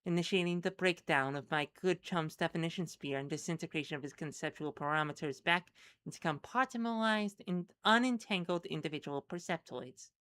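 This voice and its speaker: A woman's voice: fairly low pitch, fairly quick, fairly bright tone, lightly acted. Woman as a pompous, wordy otherworldly philosopher. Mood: blithe, lecturing. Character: genteel, wordy, philosophical otherworldly companion, blithely calm about death.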